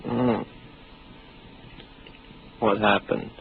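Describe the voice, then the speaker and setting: An actor playing a sick, exhausted male station officer in a 1950s radio drama, halting and doubtful of what is real. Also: fairly low pitch, little pitch movement, vocal fry, full character voice. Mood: weary, doubting. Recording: muffled noisy 1950s radio recording.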